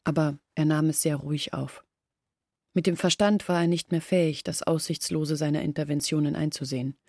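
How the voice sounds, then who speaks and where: A woman's voice: low voice, steady tone, moderate pace, darkish tone, no echo, clean studio sound. An actress playing a poor village woman confessing her family's hopeless decline in a literary radio drama monologue. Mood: sober, burdened. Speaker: narrating character in an audio drama. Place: dry studio.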